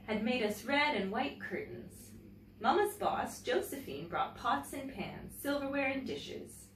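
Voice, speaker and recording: A woman teacher reading a picture book aloud to children in an expressive storytelling manner, pausing to comment and ask questions. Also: a little high. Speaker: teacher and story-time host. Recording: roomy, distant mic, faint background noise.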